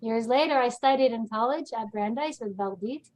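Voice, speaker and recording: A woman rabbi talks in a lively, chatty way over a video call. Her voice sits a little high. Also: normal speed, poor audio. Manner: lively, chatty, sings snatches of song. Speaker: synagogue rabbi. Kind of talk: webinar personal remarks.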